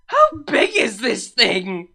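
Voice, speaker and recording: A woman's voice: fairly high pitch, wildly swooping pitch, takes it slowly, pushed and bright, pitched above the performer's own voice, exaggerated voice. A woman voicing a proud, determined elder female pony princess who speaks earnestly.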